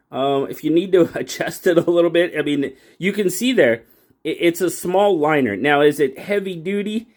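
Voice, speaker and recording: A man hosting his own channel and reviewing a pocket knife in a casual, folksy way, rambling and restarting his sentences often. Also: a little high. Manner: rambling, folksy, self-correcting with frequent restarts. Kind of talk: casual product review talk.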